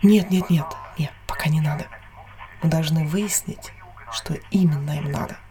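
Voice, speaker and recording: A woman's voice: sits low, normal speed, mellow, natural voice. A woman acting a cautious, scornful eavesdropping spy who takes command, in an audio drama.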